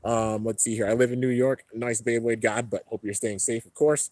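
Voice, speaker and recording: Young male streamer, relaxed rambling chat, slightly congested, dry close sound. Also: normal speed, no room sound. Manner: laid-back, rambling, heavy on fillers. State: a little congested. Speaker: YouTube host reading live chat. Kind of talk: casual livestream chat. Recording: low-end home stream setup.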